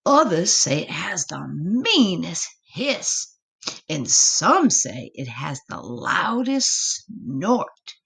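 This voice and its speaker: A woman's voice: lowish voice, big pitch swings, very slow, creaky, a bit bright, breathy edge, exaggerated voice. A woman voicing a doting mama possum in a storybook, affectionate and dramatically cautionary. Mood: spooky, cautioning.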